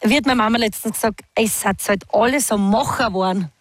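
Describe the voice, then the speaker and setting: A woman's voice: mid-range voice, a little fast. A woman, a singer chatting in an interview, laughing often and talking with fillers and restarts. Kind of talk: casual interview chat.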